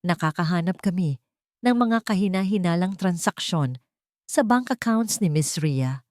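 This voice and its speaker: A woman's voice: on the low side, animated, measured pace, darkish tone, dry recording. A formal, deferential male investigator reports to his client in a radio-style drama, acted by a woman narrator. The delivery is businesslike, and the recording is very dry.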